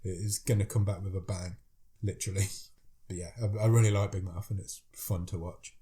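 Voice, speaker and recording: A man's voice: low-pitched, steady tone, normal speed, mellow. A man with a head cold, chatting eagerly about films as a podcast co-host. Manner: chatty, enthusiastic, reads out film blurbs. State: has a cold, deeper voice. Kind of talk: casual chat about films.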